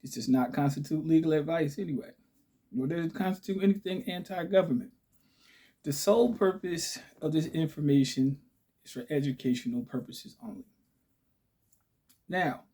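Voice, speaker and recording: Raspy-voiced man, chatty vlog host. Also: fairly high pitch, dark tone. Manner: chatty, repeats points with "okay?" and "right?". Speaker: channel host. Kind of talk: casual direct-to-camera talk.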